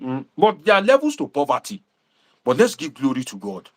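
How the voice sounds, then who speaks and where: A Nigerian man hosting a livestream, talking to viewers in a preachy, animated way and dropping Pidgin asides. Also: a little high, brisk. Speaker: livestream host and political commentator.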